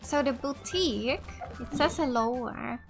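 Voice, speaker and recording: High-voiced woman gaming casually. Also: measured pace, gravelly, faint music behind. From Finland. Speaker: gaming let's play host. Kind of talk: casual gameplay commentary.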